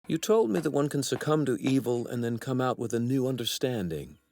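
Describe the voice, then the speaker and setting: A man's voice: medium pitch, animated, fairly quick, vocal fry, a little mellow, slight background noise. A man in an anime dub voicing an arrogant young apprentice god, a righteous villain who speaks formally and precisely with courteous menace. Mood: deferential. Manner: formal, haughty, precisely worded.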